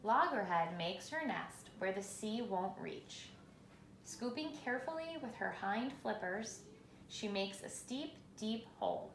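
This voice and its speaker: A woman reading a children's picture book aloud in a measured, expressive storytelling manner, with pauses for effect. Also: medium pitch. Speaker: reader. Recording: roomy echo, faint background noise.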